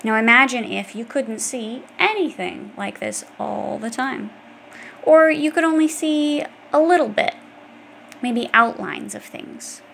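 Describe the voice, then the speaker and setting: A woman's voice: fairly high pitch, unhurried, creaky, a bit bright, a little hiss or hum. A woman reading an educational children's book aloud, explaining things directly to young listeners. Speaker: audiobook reader.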